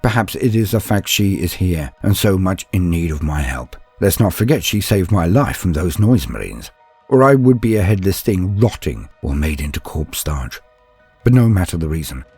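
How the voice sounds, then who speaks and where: Husky low voiced guy doing dramatic lore narration, very formal and grand, music behind. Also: a little mellow. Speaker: host of a lore channel and storyteller.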